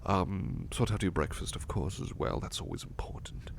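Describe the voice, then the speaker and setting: A man playing a devoted, anxious butler with a haunted past in an intimate ASMR roleplay, speaking softly and haltingly with stammers. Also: low voice, animated, creaky. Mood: flustered, dutiful. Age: middle-aged or younger adult. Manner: hesitant, stammering, soft-spoken, drifting into whispers.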